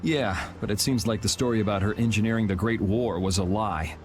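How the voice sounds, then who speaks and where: A man's voice: on the low side, fairly quick, noisy background, close to an everyday voice. A man playing a cool, sardonic young swordsman in a video game, with a dry, laid-back way of talking. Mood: dry, questioning. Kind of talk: video game dub acting. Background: background music.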